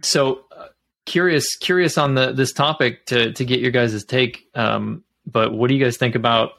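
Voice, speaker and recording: A man's voice: mid-range voice, fairly even intonation, normal speed, creaky, fairly bright tone. A man hosting a podcast, chatty and rambling, laughing often as he asks questions. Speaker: podcast host. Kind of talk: casual podcast chat.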